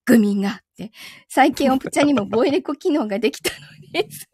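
A woman's voice: high-pitched, lively intonation, quick pace, mellow, husky, no echo. A woman chatting casually on a live stream in a playful, bantering way, close to a studio microphone in a recording booth. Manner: playful, frequently laughing banter. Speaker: voice performer hosting a live stream. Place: small home recording booth.

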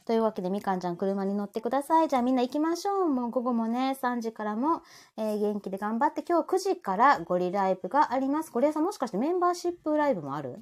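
A woman's voice: fairly high pitch, very animated, rapid-fire, darkish tone. A woman with a Kansai accent hosting a live audio show in casual, playful chat. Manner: playful banter with co-host and listeners.

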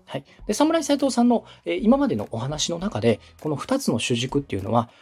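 A man's voice: fairly high pitch, huge pitch swings, fairly quick, darkish tone, slightly rough. A man giving structured, polite advice as a radio director coaching a podcaster, methodical and instructive. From Osaka, Japan. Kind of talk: structured advisory talk, polite explanation.

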